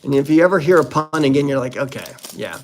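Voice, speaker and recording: A man's voice: mid-range voice, animated, fast talker, a little hiss or hum. A man hosting a casual unboxing commentary, talking in a playful, jokey, exclamatory way. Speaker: host opening card packs.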